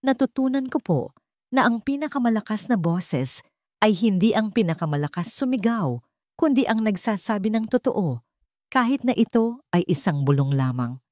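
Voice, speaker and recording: A woman voicing a respectful, bright young female student in an audiobook, polite and sincere in delivery. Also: mid-range voice, expressive, normal speed, dry recording, studio quality, voice pushed up, close to an everyday voice. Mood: earnest, respectful. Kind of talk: audiobook character dialogue.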